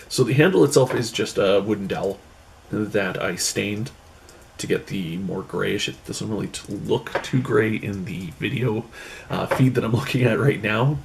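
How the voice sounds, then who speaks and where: A man's voice: lowish voice, gravelly, slight background noise. A man in his thirties with a stuffy nose from a cold, casually explaining how he built a cosplay prop, rambling with many fillers, filmed in a small bedroom on a desk camera mic. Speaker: cosplay vlogger. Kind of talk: casual show-and-tell vlog.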